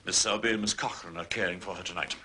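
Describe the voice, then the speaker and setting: An actor playing a stern, authoritative chief constable in a period mystery drama, brusque and official. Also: male voice, deep voice, fairly even intonation, fast, sharp tone, slightly rough, heavily acted.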